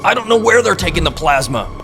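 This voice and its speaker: An actor playing a frightened, pleading low-ranking agent under interrogation in a video game cutscene. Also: male voice, sing-song, fast, a bit bright, soft background music, exaggerated voice. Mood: scared, defensive. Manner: defensive, pleading.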